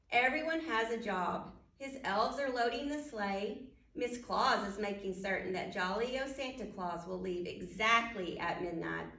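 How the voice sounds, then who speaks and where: A woman's voice: fairly high pitch, pushed and bright. A woman reading a children's storybook aloud at storytime, speaking directly to young listeners and putting questions to them. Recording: roomy echo, distant microphone.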